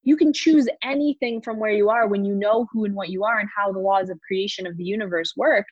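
A woman's voice: medium pitch, fairly quick, slightly rough, dry recording, highs cut off. A woman speaking as a coach in a podcast interview, motivational and emphatic, repeating words for stress. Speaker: coach and course teacher.

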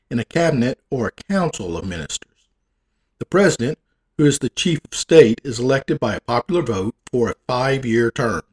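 A man's voice: medium pitch, a little mellow. A man with a Southern American accent reading out facts and stopping for folksy jokes, sometimes tripping over long words. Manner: folksy, wisecracking, stumbles over hard words. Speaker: presenter. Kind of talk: reading facts aloud with joking asides.

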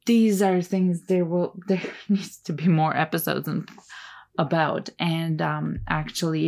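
A woman whose first language is Turkish, musing aloud in an unhurried solo talk about taste and making art. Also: on the low side, vocal fry, darkish tone. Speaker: artist sharing creative advice.

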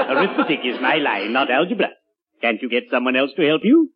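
An actor playing a genteel, mannered middle-aged undertaker fond of puns in a 1940s radio comedy. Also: male voice, medium pitch, very noisy. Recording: dull vintage 1940s radio recording.

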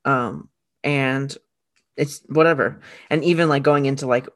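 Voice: mid-range voice, steady tone, measured pace. An easygoing podcast co-host who chats casually, agreeing often and rambling with fillers. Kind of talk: casual chat.

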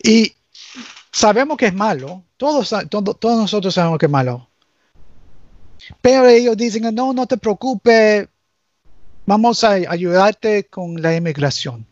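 A man from Nicaragua whose first language is English, speaking Spanish haltingly as a guest and reaching for English words. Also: high voice, lively intonation, no echo, lo-fi sound. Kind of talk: casual chat.

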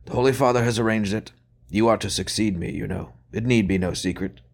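A man reading an audiobook voices a frail, ailing old cardinal who speaks softly, wearily and kindly. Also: on the low side, fairly quick, gravelly, pitched above the performer's own voice. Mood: grave. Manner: soft, weary, kindly. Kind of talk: audiobook character voice.

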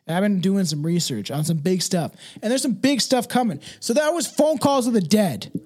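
A man's voice: high voice, lively intonation, normal speed, a little mellow. A man hosting a podcast in casual, opinionated chat, speaking bluntly and emphatically, swearing freely and tagging phrases with "right" and "man". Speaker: podcast host.

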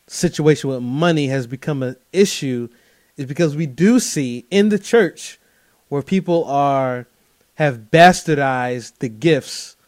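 A man's voice: mid-range voice, darkish tone, dry recording, clean studio sound. A man hosting a podcast in casual conversation, talking loosely with "bro" and restarting his words as he works into a question. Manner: conversational, uses "bro", stammers restarts when starting questions. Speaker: podcast host.

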